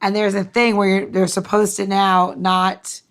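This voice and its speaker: A woman's voice: medium pitch, little pitch movement, unhurried. A woman in her thirties hosting a podcast, chatting casually and laughing as she acts out little quoted voices. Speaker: podcast host.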